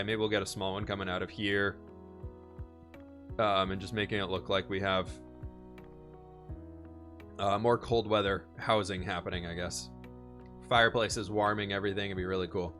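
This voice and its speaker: A man streaming a building game, upbeat and chatty, his voice a little hoarse, answering questions from chat. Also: low-pitched, a bit bright. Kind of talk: casual live-stream chat while building.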